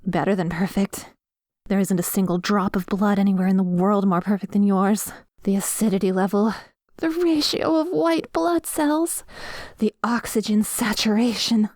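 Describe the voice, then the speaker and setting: A woman playing a dominant, obsessive vampire in a scripted ASMR roleplay, savouring her words with breathy sighs and slips into whispers, commanding and condescending. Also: medium pitch, moderate pace, darkish tone, no echo, clean studio sound, close to an everyday voice. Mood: enraptured, hungry. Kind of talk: scripted ASMR roleplay audio, addressing the listener.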